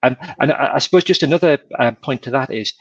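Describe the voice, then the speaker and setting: A man of medium pitch walks through rules methodically as a panel expert. His sound is dull and dry, like an ordinary video-call line. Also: fast talker, no echo. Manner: methodical, explains step by step. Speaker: tax adviser panelist.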